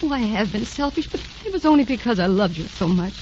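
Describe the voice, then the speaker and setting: An actress playing a devoted, emotional young socialite fiancée, tender and pleading in 1940s radio drama style. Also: female voice, mid-range voice, expressive, quick pace, darkish tone, a little hiss or hum, lightly acted. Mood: pleading, loving. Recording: muffled 1940s radio recording.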